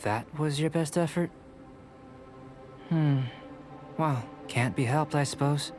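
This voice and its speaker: A composed young scholar, music behind. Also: male voice, a little high, a little mellow. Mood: calm, curious. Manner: measured, formal, scholarly. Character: calm, polite, bookish young male alchemist researcher. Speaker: video game character actor. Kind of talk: scripted game voice acting.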